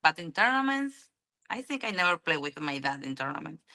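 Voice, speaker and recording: A young woman from Argentina with an Argentine Spanish accent, a guest in an interview, answering in halting English full of ums and "you know.". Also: sits low, big pitch swings, creaky, bright, forceful tone. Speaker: interview guest, pool player.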